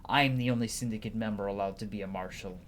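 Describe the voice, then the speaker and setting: A man's voice: lowish voice, fairly even intonation, fast talker, natural voice. Man voicing a curt, combative female military commander. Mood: guarded. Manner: curt, defensive, scornful. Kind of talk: dramatic reading of game dialogue. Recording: clearly noisy background.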